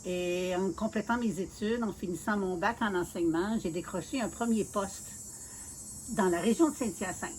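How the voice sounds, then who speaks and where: Québécoise lady in her 60s, calm earnest interview talk, lots of little pauses, faint bugs outside. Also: medium pitch, slight background noise. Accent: Quebec French. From Quebec City, Canada. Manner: measured and earnest, with many filler pauses. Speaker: mayoral candidate being interviewed. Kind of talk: casual interview, telling her life story.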